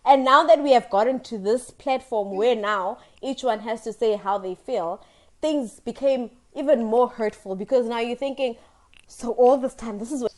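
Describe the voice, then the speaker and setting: A young South African woman hosting a video-call chat with a loud voice, earnest and switching into Zulu. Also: fairly high pitch, normal speed. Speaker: host.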